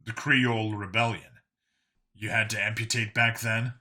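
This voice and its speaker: Man playing a world-weary, sharp-edged clone cop. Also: fairly low pitch, little pitch movement, moderate pace. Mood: matter-of-fact. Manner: matter-of-fact, explanatory. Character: Vincent, world-weary, guilt-burdened male clone cop.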